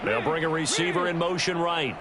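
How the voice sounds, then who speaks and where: A man voicing a composed play-by-play announcer calling football in a video game, with a brisk, measured broadcast delivery. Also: fairly high pitch, very noisy, lightly acted. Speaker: play-by-play commentator. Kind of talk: video game sports commentary. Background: music.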